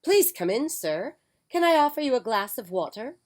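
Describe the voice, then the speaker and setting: A woman's voice: fairly high pitch, very animated, normal speed, pitched above the performer's own voice. A woman voicing a gracious, sing-song mother. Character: polite, cheerful mother and hostess.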